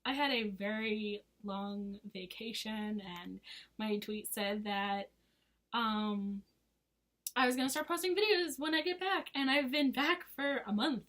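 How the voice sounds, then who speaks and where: A woman in her twenties, hoarse from losing her voice, chatting casually in a rambling, giggly vlog. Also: on the high side, measured pace, a bit bright. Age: 20s. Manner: rambling and giggly, full of fillers. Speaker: vlogger. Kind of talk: casual chat to camera.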